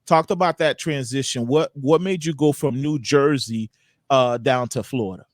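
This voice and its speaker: A man's voice: mid-range voice, normal speed, dry recording, studio quality. A man hosting a casual hip hop podcast interview in an upbeat, slangy way. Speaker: podcast host.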